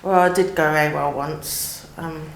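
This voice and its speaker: A woman's voice: low-pitched, fairly even intonation, a little slow, vocal fry, soft background music. A woman casually recalling her experience as an interviewee, recorded in an echoey room. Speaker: mental health service user interviewee. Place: plain indoor room. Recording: echoey room, faint noise.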